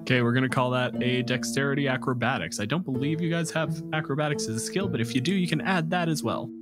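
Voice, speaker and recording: A man of medium pitch runs a tabletop role-playing game as game master. He describes scenes conversationally, like a storyteller, while plucked guitar music plays clearly behind him. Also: fast.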